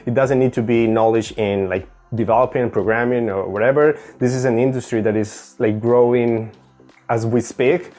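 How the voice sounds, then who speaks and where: Male host, native Spanish speaker, mid-pitched. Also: dark tone. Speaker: interview host. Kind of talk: casual interview.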